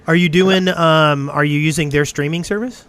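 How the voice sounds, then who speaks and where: A man's voice: a little high, steady tone, normal speed, fairly bright tone, smooth. A man hosting a podcast, asking questions with a teasing, joking manner. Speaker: podcast host. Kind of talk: casual chat.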